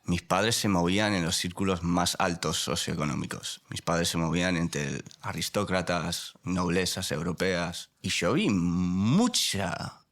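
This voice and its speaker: Deep voiced guy, raised in the us, careful and thoughtful, confessional podcast talk. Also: fairly bright tone. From born in Spain, raised in the United States. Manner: measured, reflective, choosing words carefully. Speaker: podcast guest.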